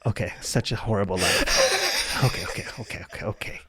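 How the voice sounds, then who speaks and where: A man in his forties, a comedian guest telling rambling, animated stories in a casual podcast chat. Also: on the low side, brisk. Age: 40s.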